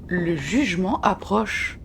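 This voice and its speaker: Woman, let's play gamer, gravelly voice, casual exclamations, noisy background. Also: fairly low pitch, lively intonation, slow pace. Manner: exclaiming and muttering reactions to the game. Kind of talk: casual live gameplay commentary.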